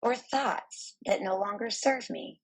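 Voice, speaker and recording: Slow, breathy woman guiding meditation. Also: medium pitch, low-quality recording. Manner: slow, deliberate, soothing delivery with pauses. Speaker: meditation guide. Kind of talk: guided meditation narration.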